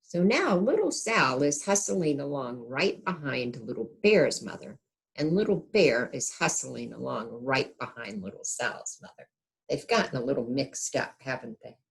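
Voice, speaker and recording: A woman reading a storybook aloud to young children in an expressive teacher's manner, pausing to check in with the listeners. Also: very deep voice, creaky.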